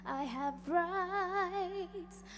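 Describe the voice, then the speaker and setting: A young woman half-singing a defiant chant on stage, slow and drawn out. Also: extremely high pitch, a bit bright, soft background music. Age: young adult. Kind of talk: sung and chanted performance. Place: stage performance.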